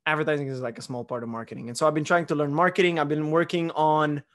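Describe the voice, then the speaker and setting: A man's voice: fairly high pitch, steady tone, quick pace, a bit bright. A young man from Romania, a podcast host chatting casually at home into a close studio mic, rambling with restarts and fillers. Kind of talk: casual chat. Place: living room at home. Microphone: studio mic on boom arm, close.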